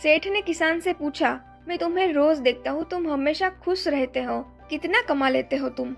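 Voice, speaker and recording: A woman's voice: high-pitched, moderate pace, vocal fry, faint music behind. A woman reading scripted moral stories for children as a narrator. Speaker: story narrator.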